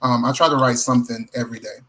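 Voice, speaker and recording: A man, a songwriter and singer appearing as a guest, giving practical advice in casual conversation, measured and halting between his points. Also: mid-range voice, steady tone, background noise.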